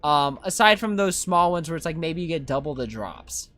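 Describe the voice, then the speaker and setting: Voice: medium pitch, lively intonation, normal speed, fairly bright tone. A streamer giving casual, rambling, easygoing commentary while playing a video game. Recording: game music behind voice. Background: video game music.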